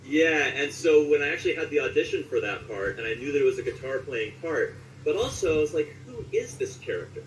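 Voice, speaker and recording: A man's voice: fairly high pitch, expressive, fairly quick, few pauses. A man in his late forties speaking as a voice actor being interviewed, telling stories in a conversational way. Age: late 40s. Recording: roomy echo, distant mic, clear background noise.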